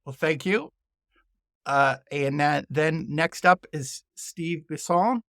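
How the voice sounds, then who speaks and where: A man's voice: on the high side, takes it slowly, a bit bright, no room sound, clean studio sound. A man hosting a podcast in a conversational style, friendly and informal as he introduces guests and segments. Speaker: podcast host.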